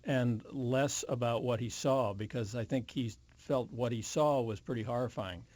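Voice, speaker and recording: An older man answers interview questions reflectively. His voice is warm and mid-pitched, and he picks his words with care in a very dry recording. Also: no room sound. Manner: measured, careful word choice. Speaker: interview guest.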